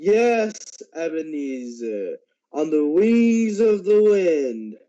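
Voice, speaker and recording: A teenage male actor playing the mournful, remorseful ghost of a businessman in a radio drama, with a drawn-out, weighty delivery. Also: fairly high pitch, big pitch swings, dark tone, heavily acted. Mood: weary, sorrowful. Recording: dull lo-fi amateur recording.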